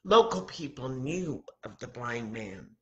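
An older American woman, in her sixties, reads a children's Bible story aloud in a dramatic, deliberate manner, acting out the dialogue. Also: very deep voice, sing-song, darkish tone, muffled recording. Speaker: children's story time host at a church. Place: home kitchen, indoors. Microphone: webcam or laptop mic at arm's length.

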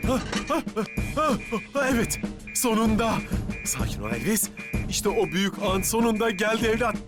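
A man voicing an eager, excitable, bumbling young firefighter in a children's cartoon. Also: high-pitched, normal speed, over loud music. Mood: excited. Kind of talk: animated children's cartoon dubbing.

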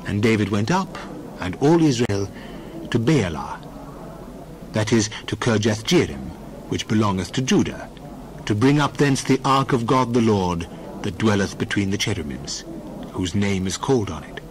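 Man, expressive scripture narration, lively rising and falling pitch, faint background noise. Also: moderate pace. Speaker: scripture reader. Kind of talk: dramatized Bible reading.

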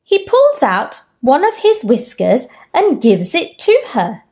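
A woman in her thirties reads a children's storybook aloud in a room at home. Her voice sits a little high and swoops widely as she tells the story gently, taking her time over every line. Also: takes it slowly. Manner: gentle, expressive storytelling with raised-pitch character quotes. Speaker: storytime host. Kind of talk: children's picture book read-aloud. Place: home room with bookshelves, indoors.

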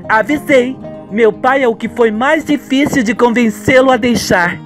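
A man's voice: very high-pitched, a little slow, soft background music, voice pushed up. A man voicing an affectionate young woman in a radio soap, with a tender, gushing, cooing manner. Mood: delighted.

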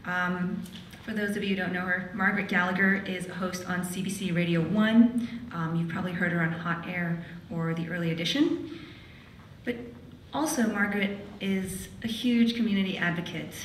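A woman's voice: fairly low pitch, steady tone, normal speed, slight background noise. A woman acting as emcee, introducing the next speaker in a friendly way, with small fillers. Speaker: event emcee. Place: large hall. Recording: echoey hall, distant mic.

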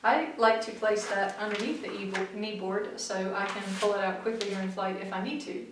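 A middle-aged woman flight instructor, calmly explaining practical tips to camera in a methodical way. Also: mid-range voice, a little fast, background noise. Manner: clear, methodical, practical advice. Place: small studio room in front of a backdrop, seated. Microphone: far camera mic. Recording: very echoey room, mic far off.

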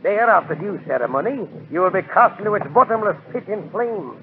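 A sinister, courteous schemer from a 1940s radio play. His high voice gloats with oily formality. The sound is tinny and very noisy, like an old broadcast. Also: lively intonation, a little fast. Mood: gloating menace. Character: smug, sinister villain, genteel male diamond schemer.

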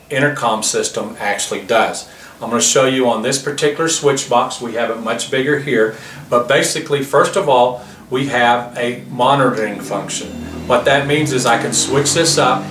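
A man in his fifties gives an explanatory product demo. His voice has a bright, forceful edge. The recording is roomy and a little distant, with faint noise behind it. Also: mid-range voice, normal speed. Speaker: product presenter.

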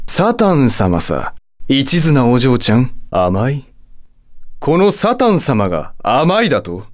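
A man voicing a haughty, hot-tempered, lovestruck demon lord in a video game, with a theatrical, condescending delivery. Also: mid-range voice. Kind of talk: Japanese video game character voice acting.

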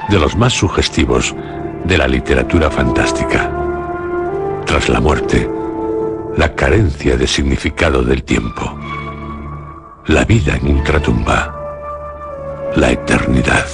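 A man with a Castilian Spanish accent presenting a radio horror show in a solemn, portentous, suspenseful scripted style, in short deliberate phrases. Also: very deep voice, vocal fry.

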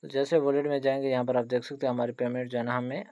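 A man's voice: mid-range voice, flat delivery, very fast, dark tone, no echo, studio quality. A man hosting an online tutorial, narrating step by step in an instructional way with frequent tag questions. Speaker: host of an online earning tutorial channel. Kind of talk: screen-recorded tutorial narration.

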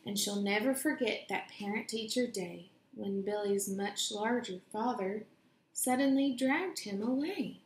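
Slow-reading young woman, slightly gravelly. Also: medium pitch, a little hiss or hum. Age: young adult. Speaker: picture book reader. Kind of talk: children's read-aloud storytelling. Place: indoors in a room at home. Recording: roomy home echo.

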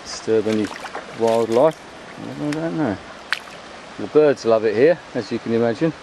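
A man's voice: mid-range voice, unhurried. A man gives a casual, rambling, unscripted commentary as he walks, recorded outdoors on a handheld camera. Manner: chatty, rambling. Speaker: vlogger filming a beach walk. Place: open beach at low tide. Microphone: handheld camera's built-in microphone. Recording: outdoor handheld recording, clear wind and water noise.

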